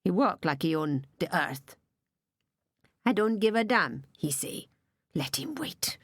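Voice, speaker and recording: A woman's voice: fairly low pitch, animated, a little slow, no echo. A woman voicing a bitter, resentful middle-aged man, brooding and scornful like a preacher, in an audiobook reading.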